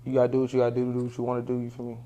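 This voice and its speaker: A man's voice: mid-range voice, completely flat delivery, very fast, warm tone. A man in his late twenties, a comedian guest, talking in laid-back slang and laughing often. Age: 20s. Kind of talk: casual chat.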